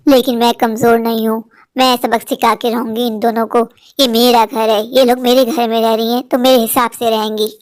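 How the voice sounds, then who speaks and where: A woman's voice: fairly high pitch, flat delivery, moderate pace, lightly acted. A woman voicing a bossy, self-pitying older mother-in-law who scolds and complains in a comic family skit. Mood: grumbling. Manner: scolding, complaining, shouting for her daughters-in-law.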